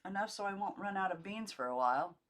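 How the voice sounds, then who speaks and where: A woman's voice: mid-range voice, animated, fast, a bit bright, close to an everyday voice. A woman voicing an easygoing, plain-spoken male gold miner in an audiobook, with hearty, folksy phrasing. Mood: matter-of-fact. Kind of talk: audiobook character dialogue.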